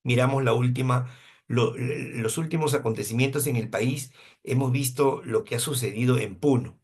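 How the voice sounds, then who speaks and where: A man's voice: medium pitch, normal speed, a little mellow, breathy edge. A Peruvian man in his fifties teaching a law class, asking rhetorical questions and answering them himself. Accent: Peruvian Spanish. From Peru, coast. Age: 50s. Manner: asks questions and answers them himself, uses hypothetical examples. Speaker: law lecturer.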